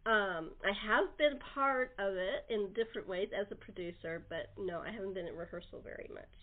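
A woman's voice: medium pitch, normal speed, narrow, tinny audio. A woman hosting a casual, chatty cast interview on a talk show. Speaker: host and producer of an audio theater talk show. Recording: thin, band-limited call-in show audio.

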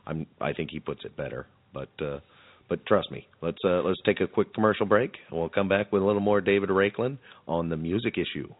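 A man hosting a podcast in a casual, halting, self-deprecating chat style. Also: low-pitched. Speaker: podcast host. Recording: muffled phone-like sound.

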